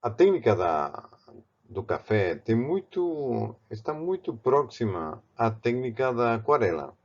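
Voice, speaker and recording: A man from Barcelona speaking with a Spanish accent, teaching a painting technique in a halting way, with many restarts. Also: medium pitch, very animated, muffled recording. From Spain, Barcelona. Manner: halting, with frequent restarts and fillers. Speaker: art teacher. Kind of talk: step-by-step art tutorial.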